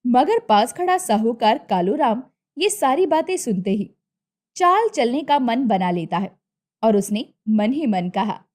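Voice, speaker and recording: High-pitched, expressive woman storyteller. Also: unhurried. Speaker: narrator. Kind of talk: scripted narration of a Hindi moral story.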